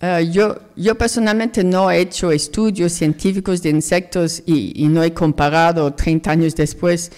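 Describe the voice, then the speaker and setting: Low-voiced British woman, earnest guest. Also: steady tone, a little mellow, long reverb tail. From Jersey, United Kingdom. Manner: earnest, unhurried, reflective. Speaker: guest ecologist. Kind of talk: radio interview. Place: radio studio.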